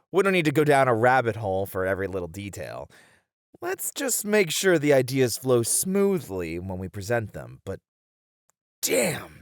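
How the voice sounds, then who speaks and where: A man's voice: medium pitch, no echo, studio quality. A man playing a thoughtful game developer, candid and casual, uneasy yet eager, in an ASMR roleplay. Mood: cautious, eager. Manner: casual, hesitant, expressive.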